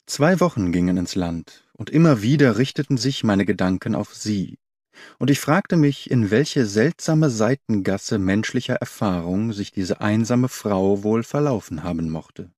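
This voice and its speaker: A man's voice: fairly low pitch, expressive, a little slow, darkish tone, dry recording, clean studio sound. A man reading scripted literary narration as an audiobook narrator.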